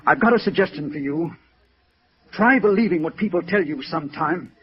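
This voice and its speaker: Actor as higher-voiced, stubborn lovestruck man. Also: expressive, normal speed, natural voice. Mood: indignant. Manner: earnest, hesitant, indignant. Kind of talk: 1950s radio drama acting. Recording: dull vintage radio recording.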